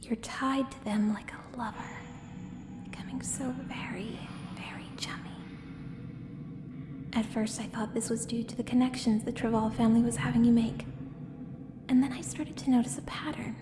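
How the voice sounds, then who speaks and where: A woman playing a menacing, coolly seductive contract killer in an ASMR audio roleplay, speaking in a hushed, deliberate, breathy voice with pointed pauses. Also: on the high side, steady tone, lightly acted. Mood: silky menace. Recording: roomy long reverb, clear background noise.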